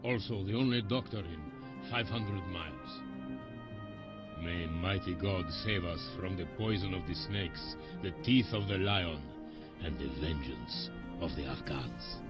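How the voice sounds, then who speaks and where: An actor playing a grave, proud Afghan guide in a video game, speaking in a solemn, measured way. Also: male voice, deep voice. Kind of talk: video game cutscene acting. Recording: dull lo-fi sound under music.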